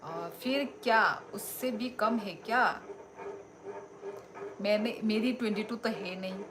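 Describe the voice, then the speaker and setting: Slow-talking young woman streaming live. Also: mid-range voice. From Tripura, India. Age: young adult. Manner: unhurried, friendly, answers viewers by name. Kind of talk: casual live-stream chat reading viewer comments. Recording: live stream with steady background noise.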